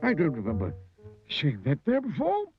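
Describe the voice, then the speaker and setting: Small round cartoon glutton, done by a male narrator. Curious, hesitant, squeaky high voice that swoops around. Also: wildly swooping pitch, normal speed, lo-fi sound, faint music behind. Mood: puzzled.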